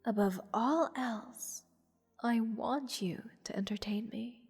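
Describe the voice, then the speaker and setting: A woman voicing a playful, haughty goddess in an intimate fantasy audio roleplay, teasing and condescending toward a captive mortal in measured, theatrical speech. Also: a little high, husky, full character voice. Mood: indulgent, playful. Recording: long reverb with music behind. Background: orchestral music.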